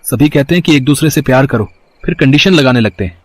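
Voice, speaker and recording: A man's voice: mid-range voice, lively intonation, fast talker, no room sound, natural voice. A man voicing a lovesick young student, earnest and hesitant. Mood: wounded. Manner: earnest, pleading, hesitant with frequent "uh" starts. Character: young male student infatuated with his teacher. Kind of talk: Hindi audio story acting.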